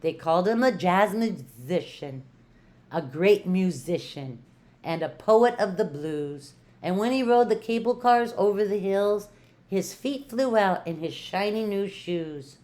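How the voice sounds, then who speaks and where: An older woman, a retired teacher, reads a picture book aloud to children with playful, rhythmic emphasis and drawn-out words. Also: mid-range voice, expressive, a little mellow.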